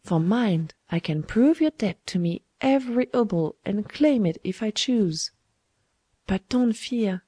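A woman's voice: mid-range voice, dark tone, slightly rough, no echo, clean studio sound. A woman voicing a sly, domineering old woman schemer in a storybook, with a taunting, wheedling manner. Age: older.

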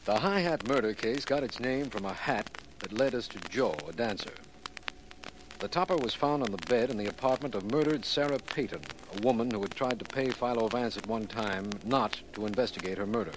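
An actor in a 1940s radio drama playing a self-assured district attorney, formal, brisk and declarative. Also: male voice, mid-range voice, close to an everyday voice. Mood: official, narrating. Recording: noisy old 1940s radio recording.

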